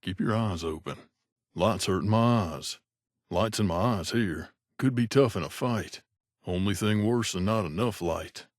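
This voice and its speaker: A low, dry-recorded male voice for a wasteland mercenary acted by a man. He is a gruff, grumbling game companion with a wry, conversational delivery. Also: unhurried, no room sound, close to an everyday voice. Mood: wary grumbling. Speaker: video game voice actor. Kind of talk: game character voice lines.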